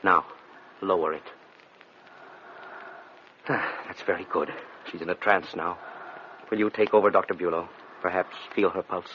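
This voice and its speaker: An actor playing an intense male hypnotist in a gothic radio drama, solemn, deliberate and commanding. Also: sits low, steady tone, close to an everyday voice. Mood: intent. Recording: dull radio recording with faint noise.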